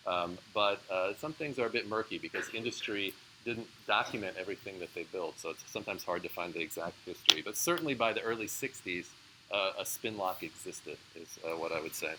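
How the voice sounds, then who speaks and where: A man's voice: low voice, fairly quick, noisy background. A man giving a live university lecture, explaining in a casual way with frequent fillers and answering student questions. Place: lecture room.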